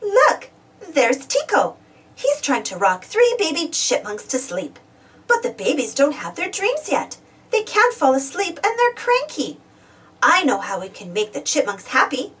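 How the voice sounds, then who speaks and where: A woman reads a storybook aloud for small children in a high voice. Her intonation swings widely, with exclamations and questions aimed at the young listener. She sounds somewhat distant from the microphone. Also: wildly swooping pitch, a little slow, fairly bright tone. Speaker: storybook reader. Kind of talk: animated children's read-aloud narration.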